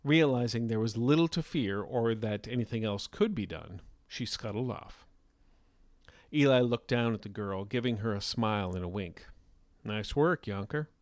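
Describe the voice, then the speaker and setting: A man hosting a fiction podcast, reading scripted narration in a measured, dramatic storytelling style. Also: fairly low pitch, darkish tone, no echo, studio quality.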